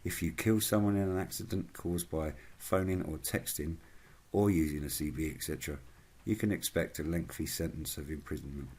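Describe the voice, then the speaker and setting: Man, low-pitched, husky, scripted narration, formal, measured, channel host. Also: a little mellow.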